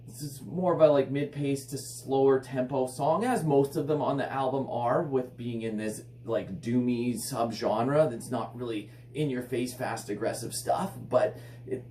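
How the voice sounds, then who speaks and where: A man with a hoarse, raspy voice from illness, enthusiastically reviewing a metal album in casual, rambling speech full of "like". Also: medium pitch, mellow. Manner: enthusiastic, filler-heavy rambling with frequent "like". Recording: echoey room, slightly distant mic, faint hum.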